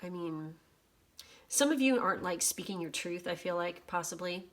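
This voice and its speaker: A woman with a slightly worn, hoarse voice giving a casual general oracle card reading, swearing freely. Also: on the low side, expressive, normal speed. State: voice going hoarse. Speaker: tarot reader.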